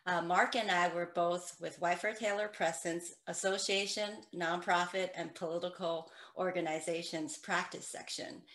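A woman's voice: on the low side, steady tone, moderate pace, hardly any pauses, fairly bright tone. A middle-aged woman raised in Taiwan with a Taiwanese accent, an attorney speaking earnestly on a video call from home. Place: home room with piano. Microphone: video call webcam. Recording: echoey room.

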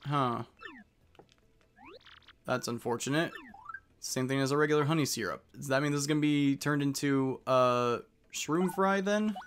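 A man's voice: medium pitch, normal speed, long pauses, a bit bright, a little hiss or hum. A man giving casual commentary as he plays through a game. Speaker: let's player.

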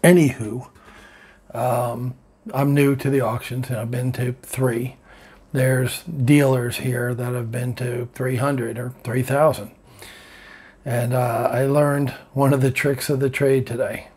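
A man's voice: mid-range voice, flat delivery, takes it slowly, darkish tone, slightly rough. An older man chatting casually to his camera from a bedroom, rambling through a story and laughing at it. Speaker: vlogger. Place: bedroom, indoors.

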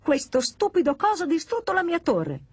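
A woman's voice: very high-pitched, huge pitch swings, fairly quick, dry recording, voice pushed up, full character voice. A woman voicing a sad, childlike male toy doll in a children's storybook, plaintive and wounded. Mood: upset.

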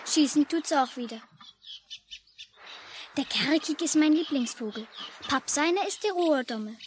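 An actress playing an eager, chirpy child who loves birds, in a 1950s German radio play. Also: female voice, high voice, lively intonation, measured pace. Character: eager, bird-loving child of lakeside angler. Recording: faintly noisy old radio recording.

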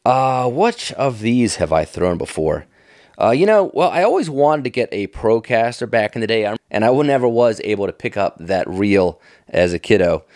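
A man's voice: medium pitch, a little mellow, no echo, studio quality. A middle-aged American man giving casual, off-the-cuff commentary in a folksy, enthusiastic, nostalgic manner. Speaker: fishing tackle vlogger.

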